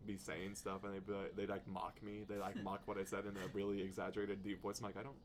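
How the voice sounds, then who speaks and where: Deep-voiced young man, quick and joking. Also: monotone, a little hiss or hum. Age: young adult. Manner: laid-back, joking, quick-talking. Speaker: podcast co-host. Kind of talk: casual chat.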